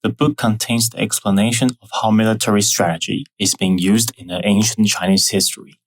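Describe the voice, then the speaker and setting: Low-voiced Taiwanese-accented man explaining history. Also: fairly even intonation, poor audio. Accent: Taiwanese Mandarin. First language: Mandarin. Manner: careful, measured explaining with non-native phrasing. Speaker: history channel host. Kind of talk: scripted narration.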